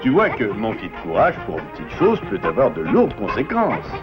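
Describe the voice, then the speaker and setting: A man voicing a caring, firm but loving father beaver in a French cartoon, expressive and gently lecturing. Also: fairly low pitch, normal speed. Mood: tender, reproachful. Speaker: voice actor. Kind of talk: French cartoon dub acting. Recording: dull lo-fi cartoon soundtrack, music behind.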